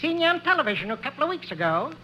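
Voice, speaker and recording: An actor playing a corny, chuckling farmer with a rural American accent in a 1950s radio comedy. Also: male voice, very high voice, sing-song, very fast, bright, forceful tone, heavily acted. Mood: folksy glee. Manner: folksy, cackling at own jokes. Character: chuckling rustic old-fashioned farmer, corny and folksy. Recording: faintly noisy 1950s radio recording.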